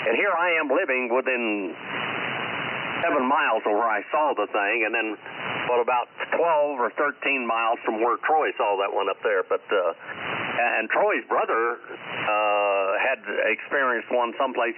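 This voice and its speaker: Hissy ham radio man, rambling storyteller. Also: mid-range voice. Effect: two-way radio. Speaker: amateur radio operator. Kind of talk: casual chat over ham radio. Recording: hissy, noisy narrowband ham radio signal.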